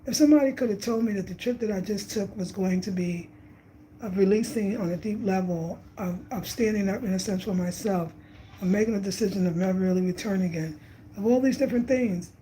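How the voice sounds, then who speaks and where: Woman, 50s, from New York; mellow, unhurried vlogger musing on spirit and travel, faint room noise. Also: medium pitch, poor audio. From Brooklyn, New York. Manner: animated, reflective, repeats phrases for emphasis. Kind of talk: casual spiritual reflection, livestream.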